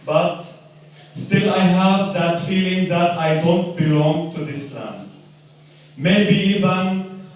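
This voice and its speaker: Slow, earnest man in an echoey room. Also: sits high, a little mellow. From Lebanon. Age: 30s. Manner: slow, earnest and reflective, with restarts. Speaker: storyteller. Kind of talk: personal story read aloud. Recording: very echoey room, voice far from mic.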